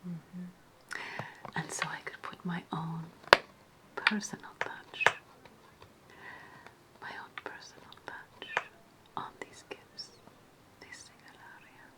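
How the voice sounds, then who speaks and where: A woman playing a sly, menacing ancient Roman witch hostess in a whispered ASMR roleplay, speaking deliberately with repeated phrases and lingering hums. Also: lowish voice, steady tone, bright, forceful tone. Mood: disdainful, conspiratorial.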